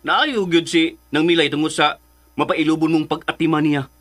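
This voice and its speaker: An actor playing a folksy, grumbling but sympathetic sidekick in a radio drama, full of exclamations. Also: male voice, a little high, fairly even intonation, moderate pace, fairly bright tone. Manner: exclamatory, colloquial.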